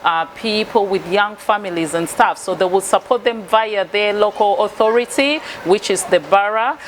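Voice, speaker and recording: A woman's voice: mid-range voice, normal speed. Chatty Ugandan-born woman vlogging, faint background noise. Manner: chatty, addresses viewers directly, repeats herself. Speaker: video host. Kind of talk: casual vlog tour commentary to camera. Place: high up on a sixth-floor block of flats. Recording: noisy ambient vlog sound.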